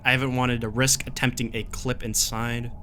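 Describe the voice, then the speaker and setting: A man playing a jaded, gruff, exasperated wanderer recording a survival warning, in a sardonic and emphatic dramatic horror reading. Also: mid-range voice, flat delivery, moderate pace, bright, forceful tone, a little hiss or hum, lightly acted. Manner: sardonic, emphatic, colloquial grumbling.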